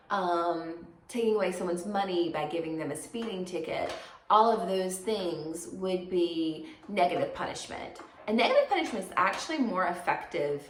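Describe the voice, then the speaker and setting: A woman teaching a psychology lecture in a chatty, everyday way, slightly hoarse and raspy from congestion. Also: fairly low pitch. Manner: conversational, many fillers, everyday examples. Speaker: psychology instructor. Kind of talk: recorded lecture. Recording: echoey room, faint noise.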